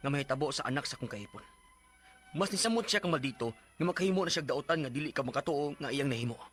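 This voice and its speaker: An exasperated young father in a Bisaya radio drama, with a Cebuano Filipino accent. His voice is fairly high and very lively, swinging up and down as he airs his troubles in a short-tempered way. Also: quick pace, soft background music. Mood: aggrieved.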